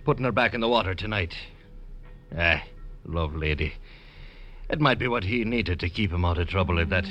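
An actor playing a tough but kindly old boat repairer, salty and folksy, in 1950s radio drama style. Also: male voice, sits low, normal speed, highs cut off, a little hiss or hum, close to an everyday voice. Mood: fond, wistful. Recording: vintage 1950s radio recording, music behind.

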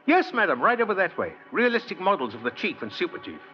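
A kindly old santa, super swoopy delivery, chatty n courtly, muffled old radio recording. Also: male voice, high-pitched, very animated, fast talker, faint music behind. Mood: jolly, helpful. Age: older. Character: jolly, kindly old man claiming to be Santa Claus. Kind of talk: 1940s radio acting.

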